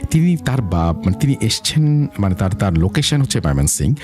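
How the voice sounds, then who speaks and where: Deep-voiced Bangladeshi man hosting. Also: sing-song, normal speed, a little mellow, soft background music. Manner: mixes English words and phrases into talk. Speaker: host of a ghost story show. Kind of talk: conversational show hosting.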